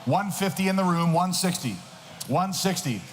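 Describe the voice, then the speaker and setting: A man working as an auctioneer, calling bids in a rapid rhythmic chant and shouting the numbers. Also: high-pitched, expressive. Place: large auction hall. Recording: noisy reverberant hall.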